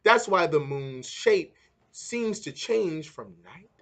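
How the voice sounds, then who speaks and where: Guy doing a storybook teacher man, encouraging, explains stuff to kids, highish lively voice. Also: slow, heavily acted. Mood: instructive. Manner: encouraging, instructive. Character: encouraging male schoolteacher explaining science to children.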